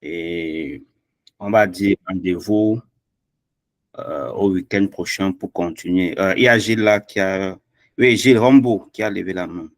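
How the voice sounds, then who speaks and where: A man's voice: deep voice, unhurried, no room sound, clean studio sound. A man closing an online language class on a video call, speaking hesitantly with many fillers.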